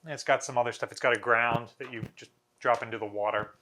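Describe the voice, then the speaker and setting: An American man in his thirties hosts an informal explainer. His voice sits at a middle pitch with a bright, forceful edge. He talks casually to the camera, with a wry, dry sense of humour. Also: fairly even intonation, fast. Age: 30s. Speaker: museum video host. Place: small bare indoor room.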